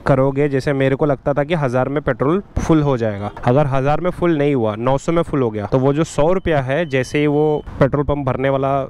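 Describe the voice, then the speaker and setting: A man's voice: mid-range voice, fairly quick, few pauses, smooth. A young man with a Mumbai Hindi accent, chatting playfully while riding a motorcycle in the rain. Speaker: motovlogger host. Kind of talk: casual vlog chat while riding. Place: outdoors on a motorcycle in rainy city traffic. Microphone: helmet or bike-mounted action camera. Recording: loud road and wind noise outdoors.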